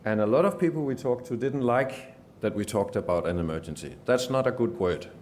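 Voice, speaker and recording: A man's voice: on the low side, expressive, brisk, darkish tone, slight background noise. A man with a Danish accent giving an earnest conference speech as a journalist, often restarting and repeating words. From Denmark. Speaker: journalist giving a summit talk.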